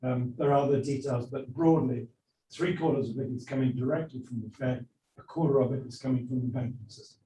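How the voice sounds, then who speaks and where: A measured man lays out numbers step by step, his voice heard from far off in a reverberant conference hall. He speaks at a mid pitch, with a light ambient hum behind him. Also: dark tone. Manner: measured, precise, explanatory. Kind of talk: explaining monetary data.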